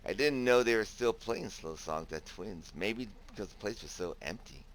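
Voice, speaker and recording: A man's voice: on the low side, expressive, moderate pace, natural voice, poor audio. A man playing an easygoing, bashful young protagonist in a visual novel game, talking casually and hesitantly. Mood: relaxed. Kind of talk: visual novel game voice acting with inner narration.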